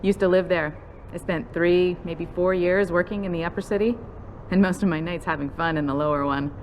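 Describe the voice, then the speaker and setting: An actress playing a breezy, teasing pilot in a video game, casual and upbeat. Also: female voice, fairly low pitch, steady tone, fairly quick, natural voice. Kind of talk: dramatic video game dialogue. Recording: noisy vehicle ambience behind.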